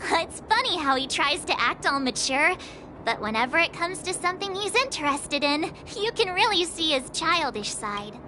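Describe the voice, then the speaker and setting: A woman's voice: very high voice, big pitch swings, moderate pace, bright, forceful tone, slight background noise, full character voice. A woman voicing a bubbly, teasing teenage girl traveler in a video game, chatty and playful. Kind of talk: English video game voice acting. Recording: game music behind the voice.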